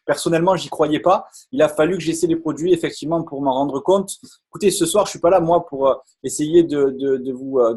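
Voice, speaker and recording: A man giving an enthusiastic live online sales pitch, full of hesitations and repeated words. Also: a little mellow, husky.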